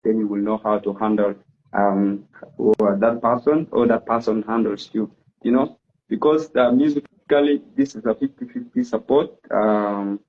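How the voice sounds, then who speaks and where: A man giving thanks and closing remarks on a talk show, pausing often on "uh". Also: lowish voice, steady tone, phone-quality sound. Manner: halting, many "uh" pauses.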